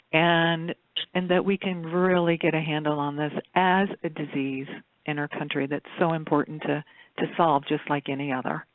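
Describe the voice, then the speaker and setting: A woman speaking in a measured, appreciative way as a panelist on a conference call. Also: deep voice, no room sound. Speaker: charitable fund director, panelist. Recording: dry, muffled phone conference line.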